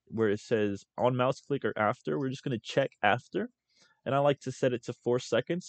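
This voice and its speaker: A young man hosting a casual screen-recorded software tutorial as a voice-over. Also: fairly low pitch, no echo. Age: young adult. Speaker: tutorial host.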